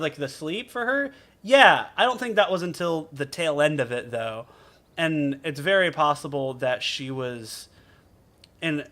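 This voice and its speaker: A man giving casual live game commentary as a streamer, thinking aloud in a halting, musing way. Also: a little high, a bit bright.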